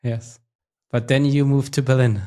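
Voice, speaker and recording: A man's voice: medium pitch, flat delivery, moderate pace, warm tone. Man hosting a podcast conversationally. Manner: conversational, hesitant, with fillers. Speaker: podcast host. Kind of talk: podcast interview.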